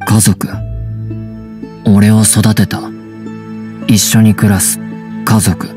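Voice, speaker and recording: A man voicing a guarded wild teenage boy raised by wolves in a video game, speaking in terse, halting, simple phrases. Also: on the low side, little pitch movement, music in the background. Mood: earnest, terse. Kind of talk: Japanese anime video game voice acting.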